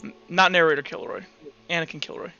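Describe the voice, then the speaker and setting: High, bright-voiced man running a game. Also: fairly even intonation, creaky, smooth. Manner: halting, repeats words while improvising. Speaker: game master. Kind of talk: improvised narration of a tabletop roleplaying game. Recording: dull lo-fi audio with music behind. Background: background music.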